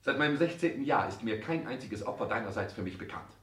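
An actor playing a cold, ambitious, self-important grown son with formal, clipped speech in a 1960s radio play, recorded roomy and slightly distant. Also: male voice, medium pitch, animated, fast, a bit bright, slight background noise, natural voice. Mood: accusing, cold. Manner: formal, clipped, pompous declamation.

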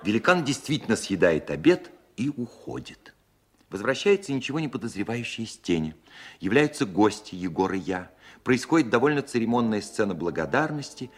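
An actor playing a grown composer who recalls his childhood opera in a radio play, narrating in a wry, expressive way. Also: lowish voice, moderate pace. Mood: amused recounting. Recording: 1970s studio record with prominent music.

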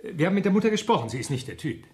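An actor playing an eager, nervous young police constable in a radio crime drama, hesitant and deferential. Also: male voice, high voice, big pitch swings, rapid-fire, a little mellow. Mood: earnest, deferential. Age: young adult. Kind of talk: 1970s German radio crime drama acting.

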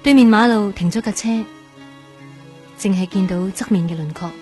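An actress playing a gentle, reflective young woman in a Hong Kong Cantonese radio drama. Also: mid-range voice, moderate pace, close to an everyday voice. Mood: wistful, pondering. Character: gentle young woman, heart transplant patient, wistful narrator. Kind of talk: Cantonese radio drama acting and inner monologue. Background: soft music.

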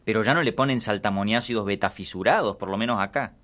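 A man playing an earnest, pedantic explainer in a deadpan absurd radio comedy sketch. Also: fairly low pitch, fast talker, lightly acted.

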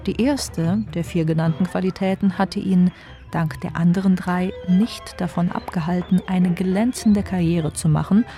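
A woman narrating a scripted radio play in a measured, wry way. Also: lowish voice, fairly even intonation, mellow, faint music behind, close to an everyday voice.

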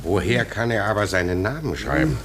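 A man's voice: on the low side, expressive, fairly quick. A man playing a dignified, older court president in a radio play, formal and commanding, with curious, curt questions. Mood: intrigued. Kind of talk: German radio play acting. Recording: noisy old radio play recording.

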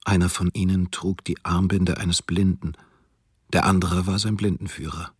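A compassionate wartime photographer looking back in a radio documentary. His voice is low and very husky. He tells the story slowly and soberly, in a dry, close read. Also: level tone, no room sound. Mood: somber. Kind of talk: German radio documentary reading.

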